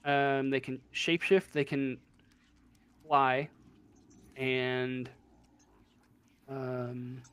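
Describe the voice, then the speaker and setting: A man's voice: mid-range voice, flat delivery, drawn-out words, pauses often, a bit bright, clean tone. A man acting as game master, casually explaining a tabletop roleplaying game in a hesitant way, as if thinking aloud.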